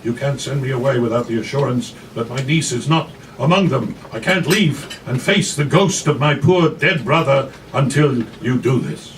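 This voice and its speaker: A man's voice: medium pitch, moderate pace, few pauses, natural voice. A man voicing an iron-willed, determined grandmother in a story reading, earnest, insistent and dramatic. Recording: noisy distant live café recording.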